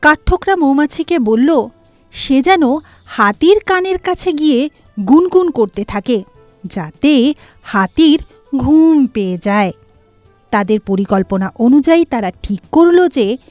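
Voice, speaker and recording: A woman narrating a children's cartoon moral tale in an expressive storybook manner. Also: high voice, no room sound. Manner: slow, expressive storybook reading.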